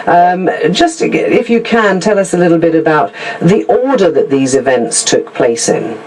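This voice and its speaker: A woman's voice: lowish voice, animated, moderate pace, a little mellow, rough recording. A woman hosting a radio interview, asking probing, challenging questions. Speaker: radio host.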